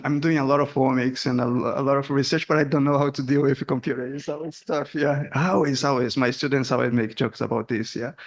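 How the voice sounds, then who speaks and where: A middle-aged man from Brazil giving a seminar talk in a hall, hesitant and full of fillers, laughing at himself. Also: medium pitch. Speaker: guest speaker. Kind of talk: academic presentation.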